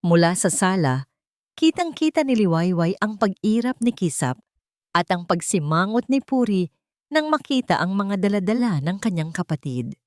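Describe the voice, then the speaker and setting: A woman's voice: mid-range voice, very animated, normal speed, a little mellow, dry recording. A woman with a Filipino accent narrating a scripted dramatic story.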